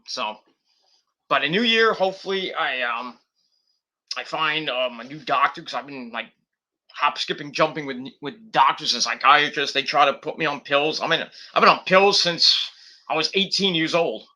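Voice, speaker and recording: A man's voice: a little high, normal speed, sharp tone. A man in his forties rambling casually at home, with many fillers, as he corrects himself mid-sentence. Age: 40s. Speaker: YouTuber. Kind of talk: rambling casual vlog chat. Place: home, at a desktop computer.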